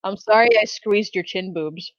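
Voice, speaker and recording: A woman's voice: mid-range voice, normal speed, no echo. A woman role-playing at a tabletop game in casual, playful table talk, on a dull recording.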